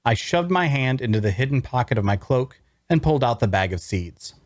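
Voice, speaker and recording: A wry necromancer tells his own tale , played by a man, in a medium-pitched male voice. The narration is dramatic scripted fantasy prose, captured close and dry. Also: big pitch swings, brisk, dry recording, lightly acted. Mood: urgent, grim. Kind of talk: first-person scripted narration at a table read.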